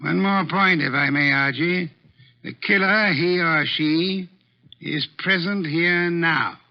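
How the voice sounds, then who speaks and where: Imperious master detective, fairly high male voice, formal, precise, pompous, old radio mystery, dull recording. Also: slow. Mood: measured, authoritative. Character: imperious, pedantic, housebound genius detective, a large man. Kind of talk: 1940s-50s radio mystery acting.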